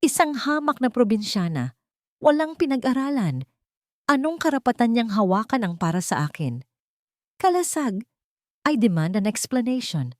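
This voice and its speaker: A woman's voice: a little high, sing-song, normal speed, long pauses, darkish tone, dry recording, clean studio sound, voice pushed up. A woman voicing a cold, commanding, jealous rich fiancée in an audiobook romance drama, firm and condescending. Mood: seething, threatening.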